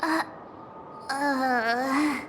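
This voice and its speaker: An actress voicing a perky, outspoken teenage girl adventurer in a video game, bubbly, informal and expressive. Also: high voice, extremely slow, slight background noise, exaggerated voice. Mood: uneasy.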